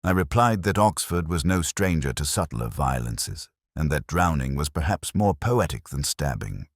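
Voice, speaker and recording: A man with an exceptionally low voice narrates a literary detective story. His delivery is formal and measured, yet his intonation moves widely. The sound is dry and close, with no room around it. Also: lively intonation, no echo. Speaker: audio drama narrator. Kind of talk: scripted first-person literary mystery narration.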